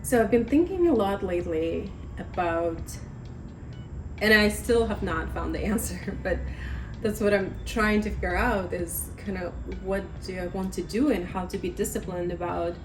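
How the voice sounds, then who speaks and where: A woman in her thirties chatting casually in a vlog at her table at home, rambling and self-deprecating, her voice slightly raspy from talking too much. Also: medium pitch, normal speed, noisy background. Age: 30s. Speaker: vlogger.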